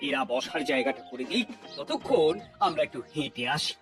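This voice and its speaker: A kindly cartoon maharaja with a regal, admonishing way of speaking. His voice sits a little high for a man and rises and falls in animated sweeps. He declaims with easy authority. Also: moderate pace, music in the background. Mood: commanding, curious. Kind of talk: cartoon voice acting.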